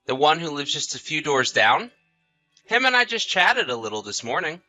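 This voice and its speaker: A man's voice: a little high, sing-song, moderate pace, sharp tone, soft background music, full character voice. A man playing an easygoing, sociable husband in chatty, casual audio drama dialogue. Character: easygoing husband, sociable, placating, mildly defensive.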